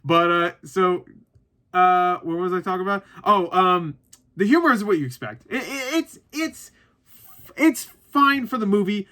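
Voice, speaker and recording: A man's voice: high voice, expressive, a little slow, sharp tone. A man giving a casual, rambling, opinionated movie review, informal and joking, swearing freely and repeating himself for emphasis.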